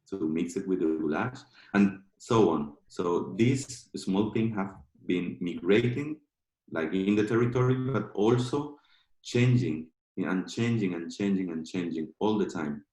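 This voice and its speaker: A man from Colombia speaking English with a Colombian Spanish accent on a video call, talking casually in halting phrases full of "like" and restarts while he cooks in his kitchen. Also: low-pitched, mellow. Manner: halting, many filler "like"s and restarts. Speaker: guest speaker. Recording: echoey room.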